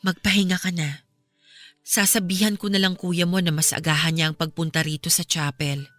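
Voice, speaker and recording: A woman's voice: lowish voice, little pitch movement, normal speed, a bit bright, faint music behind, lightly acted. An actress playing a caring, steadfast young Filipino woman who consoles a friend in a radio drama, earnest and reassuring. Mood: gentle consoling.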